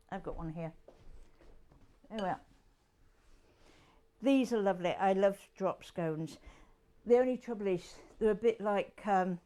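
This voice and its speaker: A woman's voice: mid-range voice, warm tone, a little hiss or hum. An older woman with a Yorkshire accent, hosting a casual cooking demonstration in her home kitchen, chatting with rambling asides as she works. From England. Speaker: cooking show host.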